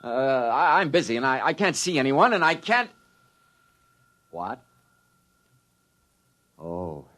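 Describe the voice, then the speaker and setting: A man's voice: mid-range voice, big pitch swings, takes it slowly, long pauses, noisy background. An actor playing a frightened male publicity man who stammers and begs, in 1950s radio drama style. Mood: panicked pleading. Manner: stammering, begging.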